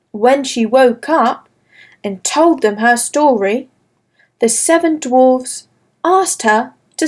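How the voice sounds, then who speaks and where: A woman reading a fairy tale aloud for children, slow, clear and gentle. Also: high-pitched. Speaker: storyteller. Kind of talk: scripted children's story narration.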